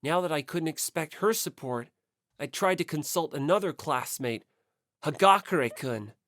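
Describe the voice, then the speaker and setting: A woman narrates a story in a lowish voice with very lively intonation. The sound is close and dry, in the manner of a scripted audiobook. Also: normal speed, no echo.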